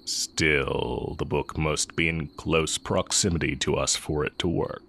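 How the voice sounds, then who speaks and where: A man playing a sly, heretical magic teacher with secret longing, speaking in a measured, teasing way in a romantic audio roleplay. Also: a bass voice, steady tone, a bit bright, a little hiss or hum, exaggerated voice. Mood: sly, confident. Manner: measured, deliberate, teasing delivery with drawn-out pauses.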